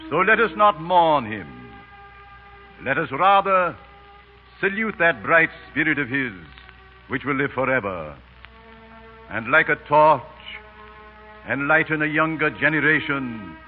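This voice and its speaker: An actor playing a solemn, eloquent orator giving a funeral oration in grand, declamatory 1930s radio style. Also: male voice, mid-range voice. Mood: solemn, exalted. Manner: slow, declamatory, exalted. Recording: muffled 1930s radio broadcast recording.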